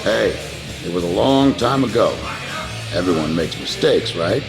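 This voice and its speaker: Wry old pilot over guitar. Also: male voice, low-pitched, animated, unhurried, creaky, exaggerated voice. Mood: wry, modest. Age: older. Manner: wry, self-deprecating. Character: modest, good-humored old ex-fighter pilot. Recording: guitar music behind the voice.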